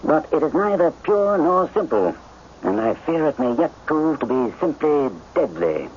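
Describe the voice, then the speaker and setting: Radio drama: incisive Victorian detective, lively swoops, portentous, noisy muffled broadcast. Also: male voice, a little high, measured pace. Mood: incisive, ominous.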